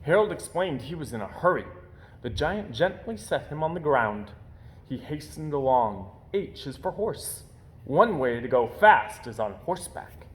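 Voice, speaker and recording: A man reading a children's picture book aloud as an expressive storyteller, putting theatrical emphasis on key words. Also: a little high, unhurried.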